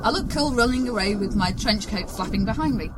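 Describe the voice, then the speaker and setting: A woman's voice: medium pitch, brisk, background noise, close to an everyday voice. A woman playing a breezy, boastful, cowardly time-traveling adventurer in a comic audio drama. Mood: flippant. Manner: animated, flippant, exclamatory.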